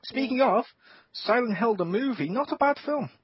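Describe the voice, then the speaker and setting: Animated, husky podcast host. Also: male voice, very high-pitched, moderate pace, no echo. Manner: animated, swears a lot, acts out his own reactions. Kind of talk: casual gaming chat. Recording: dull lo-fi podcast audio.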